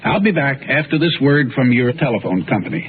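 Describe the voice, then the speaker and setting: A man's voice: medium pitch, very animated, fairly quick, a crowd in the background. A man announces a concert broadcast from a script, in the role of host. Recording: noisy, tinny vintage radio broadcast.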